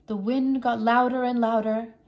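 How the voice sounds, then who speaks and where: A woman teacher narrating a children's story aloud in a careful, expressive storytelling manner. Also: a little high, moderate pace. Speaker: English teacher reading aloud.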